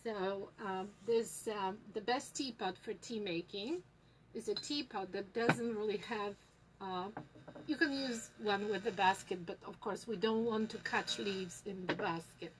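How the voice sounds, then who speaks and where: Slow, unhurried woman with a Polish accent. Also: mid-range voice, background noise. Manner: unhurried, many fillers and pauses. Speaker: tea shop host teaching tea leaf reading. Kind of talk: live tutorial with viewers.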